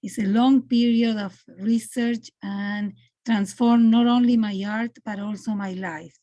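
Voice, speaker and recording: A woman from Argentina with an Argentinian Spanish accent, presenting her art on a video call, halting and searching for words. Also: a little high, steady tone, dark tone, lo-fi sound. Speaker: presenting artist. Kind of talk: online artist presentation.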